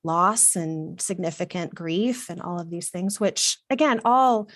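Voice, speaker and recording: A woman's voice: fairly low pitch, a little slow, fairly bright tone, no echo, studio quality. Earnest, reflective middle-aged woman from Texas. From Texas, USA. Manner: reflective and earnest, with some fillers. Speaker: guest, wardrobe consultant. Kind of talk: casual conversational storytelling.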